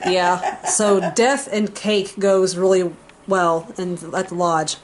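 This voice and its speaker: A woman chatting casually in a home vlog, explaining things in a relaxed, offhand way. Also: on the low side, slow, background noise. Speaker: vlogger. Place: house, indoors.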